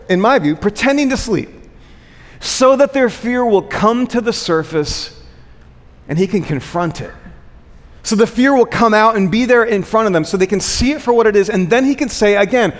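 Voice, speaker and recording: A male preacher with a fairly high voice speaks in a large hall with long reverb. He delivers his sermon conversationally, posing questions, repeating key phrases for weight and checking in with his listeners. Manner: rhetorical questions, repeated phrases for emphasis, frequent "right?" check-ins.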